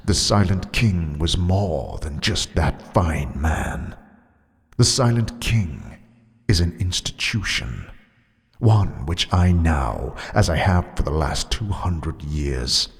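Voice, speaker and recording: A man plays a towering skeleton guard, dutiful and ancient. The voice sits very low and unhurried, and each formal, archaic line rings out through a long hall reverb. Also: vocal fry, exaggerated voice.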